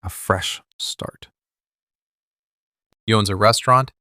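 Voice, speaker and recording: A possessive, wounded mafia boss, played by a man in a dramatic ASMR monologue. His voice is on the low side and he takes his time, weighing each short phrase with controlled intensity. The sound is close and very dry. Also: takes it slowly, bright, forceful tone, no echo. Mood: hurt, probing. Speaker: ASMR voice actor.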